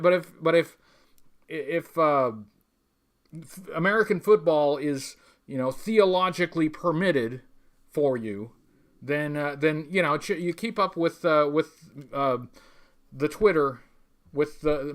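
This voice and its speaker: A man with a somewhat high voice hosts a casual live stream. He talks in a loose, halting ramble, stopping and restarting as he goes. His manner is wry and tongue-in-cheek, like someone chatting to regular viewers. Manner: rambling, halting, stop-start delivery. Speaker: livestream host. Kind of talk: casual livestream chat reading viewer messages.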